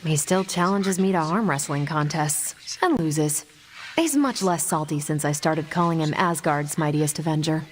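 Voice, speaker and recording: Lowish-voiced, self-assured American superhero woman played by an actress. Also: moderate pace, slight background noise, lightly acted. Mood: proud, playful. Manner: wry, casual, self-assured. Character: confident, easygoing superhero woman, ex-Air Force pilot. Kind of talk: video game dialogue acting.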